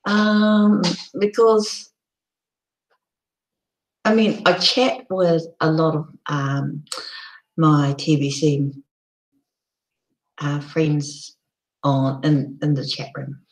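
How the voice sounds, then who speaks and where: A low-voiced woman with a hoarse, croaky edge talks slowly through a casual live stream. She rambles along with plenty of ums and false starts, and her voice rings in an echoey room. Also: fairly even intonation. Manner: rambling, lots of ums and restarts.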